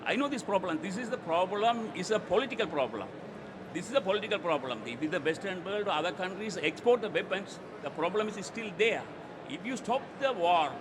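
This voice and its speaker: A man's voice: high voice, background noise. A man with a Sri Lankan accent making a comment from the audience in short, insistent, repeated statements. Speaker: audience member.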